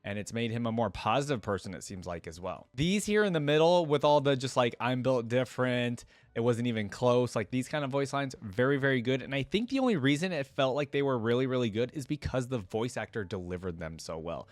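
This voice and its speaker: A man's voice: mid-range voice, expressive, brisk, hardly any pauses, dry recording. A man hosting a reaction video, giving casual, enthusiastic and appreciative commentary close to the microphone.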